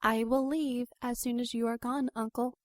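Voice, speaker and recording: A woman playing a haughty, sharp-tongued young 1930s heiress, formal and dismissive, in a noir audio drama. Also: a little high, fairly even intonation, normal speed, no room sound, studio quality, lightly acted. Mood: indignant.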